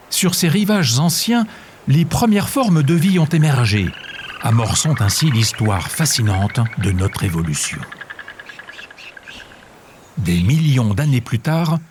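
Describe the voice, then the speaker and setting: Male actor as theatrical history-teacher narrator, mid-range voice, highly animated, wry and grandiose, audio drama. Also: a little hiss or hum.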